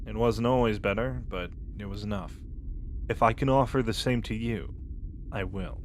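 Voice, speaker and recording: An actor playing a calm, reflective and sincere spacefarer in an audio roleplay. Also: male voice, medium pitch, normal speed, gravelly, slight background noise, lightly acted. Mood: sincere, quiet.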